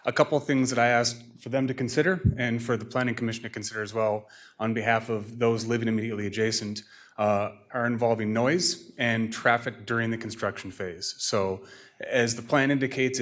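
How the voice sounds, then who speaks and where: Guy at a city council podium, formal and polite, mid-pitched, measured. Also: fairly even intonation. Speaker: resident neighbor giving public comment. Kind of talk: public comment at a city meeting.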